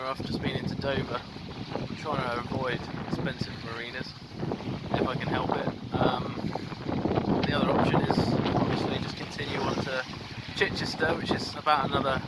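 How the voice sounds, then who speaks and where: Solo sailor vlog voice: man, mid pitch, halting and casual, recorded outdoors at sea with clear wind and water noise. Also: little pitch movement. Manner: halting, lots of ums and restarts. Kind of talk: casual video diary. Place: outdoors on a small sailboat at sea. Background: wind, water and boat sounds.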